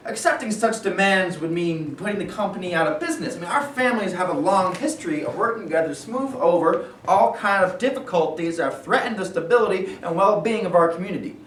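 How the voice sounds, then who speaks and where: A man playing a well-born steel company man in a staged play reading, formal and persuasive, with veiled threats under polite, measured speech. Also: high-pitched, expressive, a bit bright. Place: indoor room at a live staged reading. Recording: echoey room, distant mic, noisy.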